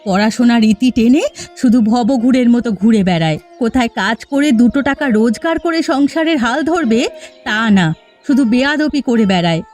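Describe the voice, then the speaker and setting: Woman doing a worn-out poor village wife in a cartoon, higher voice, always moaning about hard times, light music behind. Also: animated, normal speed, darkish tone, natural voice. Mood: lamenting. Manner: lamenting, complaining. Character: poor weary farmer's wife and mother, lamenting. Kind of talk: cartoon dubbing.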